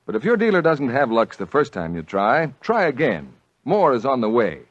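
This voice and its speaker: A husky American man working as a 1940s radio announcer. He speaks with lively, emphatic intonation at a medium pitch, close and dry. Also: moderate pace, no echo, highs cut off. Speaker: announcer and ad spokesman.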